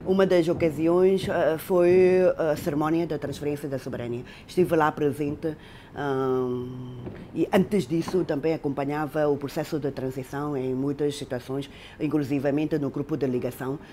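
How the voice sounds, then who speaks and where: A woman's voice: low-pitched, normal speed, a little hiss or hum. Hesitant middle-aged woman recalling. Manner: hesitant, many fillers, animated storytelling. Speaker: interpreter and translator interviewed. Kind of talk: interview recollection.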